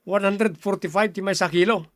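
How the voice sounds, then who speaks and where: A man's voice: sits high, steady tone, normal speed, no echo, studio quality, close to an everyday voice. A man with an Ilocano accent playing an irritable, fault-finding older brother and shopkeeper in a family drama, blunt and scolding. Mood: angry, scolding. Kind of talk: radio-style family drama acting.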